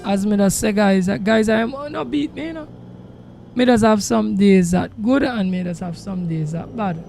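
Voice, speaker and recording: A man with a Jamaican accent and a gravelly voice, chatting casually to livestream viewers in an emphatic, repetitive way. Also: moderate pace, a little mellow, faint music behind. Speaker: game livestreamer. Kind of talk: casual livestream chat while driving a truck sim. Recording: noisy, engine rumble behind voice. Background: truck engine sounds from the game.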